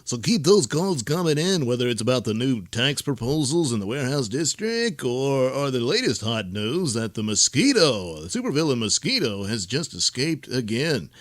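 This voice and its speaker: A man's voice: mid-range voice, very animated, moderate pace, few pauses, no echo, studio quality, close to an everyday voice. A man playing a chipper, unflappable call-in show host in a talk radio parody. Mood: upbeat.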